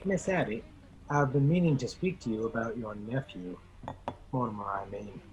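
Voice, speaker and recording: A man acting in a radio play as a prim, mild-mannered reverend, polite and stuffy, with a husky voice. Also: medium pitch, normal speed, a little mellow, dull audio, a little hiss or hum, lightly acted.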